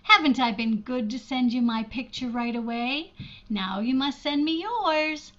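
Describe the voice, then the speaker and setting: A woman's voice: high-pitched, a little slow, fairly bright tone, dull audio. A woman playing a shy, lonely older sister from an old-time radio drama, hesitant, earnest and self-deprecating. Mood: shy, hopeful.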